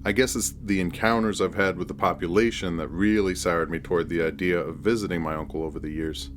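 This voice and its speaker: A man narrating a scripted first-person horror story in a measured, deliberate storytelling manner. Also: low voice, slight background noise.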